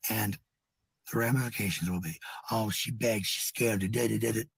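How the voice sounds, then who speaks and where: A woman with a husky, raspy voice, ranting casually to her followers in a defensive, blunt, sweary manner. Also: rumbling low, steady tone, moderate pace, a little hiss or hum. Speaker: livestreamer.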